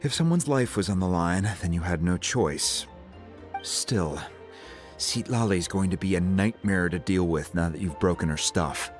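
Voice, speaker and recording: A protective, fatherly video game mentor. His voice is very low and his delivery measured and reassuring. Faint music plays underneath. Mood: calm, reassuring. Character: calm, protective, fatherly older man, a mentor. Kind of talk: dramatic video game dialogue.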